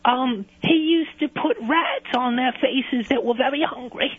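A man voicing an odd, simple-minded little boy on a call-in, slow and childish. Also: extremely high pitch, slightly rough, dry recording, heavily acted. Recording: dull radio broadcast.

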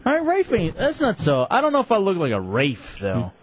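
A man hosting a late-night radio show, joking loudly and bantering with callers and co-hosts. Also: high voice, brisk, slightly rough, highs cut off. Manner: loud, joking, animated. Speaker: radio host.

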